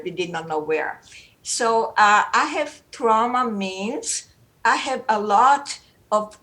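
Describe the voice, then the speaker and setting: An older woman gives a spirited, unscripted talk. She rambles playfully and circles back to repeat herself, speaking at a middling pitch. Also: fairly bright tone. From Romania. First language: German. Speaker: guest speaker.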